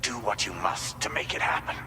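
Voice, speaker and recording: A man playing a formal company leader in a video game, speaking in a measured, earnest and grateful way. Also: fairly low pitch, lively intonation, vocal fry, big-room sound, background noise. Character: formal male company leader addressing a courier. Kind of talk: video game cutscene acting.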